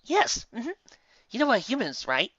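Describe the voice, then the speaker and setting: An eccentric female pony from a fan story, acted out by a man. The voice is lifted and bright, theatrical and dreamily gushing, recorded close and dry. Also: very high voice, wildly swooping pitch, normal speed, dry recording, muffled recording, pitched above the performer's own voice, full character voice. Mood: dreamy, gushing. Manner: breathy dreamy sighing, theatrical.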